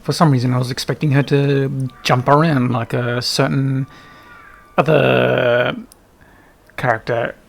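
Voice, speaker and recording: A man's voice: medium pitch, flat delivery, a little slow, gravelly. A man giving casual gameplay commentary, muttering to himself as he thinks aloud. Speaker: gaming video host.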